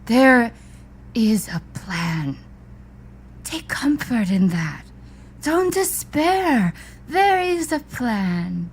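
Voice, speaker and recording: Female, tipsy and grandly formal, higher voice, swooping pitch, theatrical audio-drama phrasing. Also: very animated, extremely slow, long pauses, husky, full character voice. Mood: reassuring, tipsy. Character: tipsy, oddly formal woman, upbeat and cryptic.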